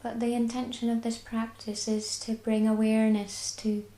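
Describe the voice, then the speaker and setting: A woman with a Scottish accent guiding a body scan meditation, speaking slowly and soothingly. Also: fairly high pitch, level tone, echoey room. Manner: slow, soft and soothing. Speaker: mindfulness guide.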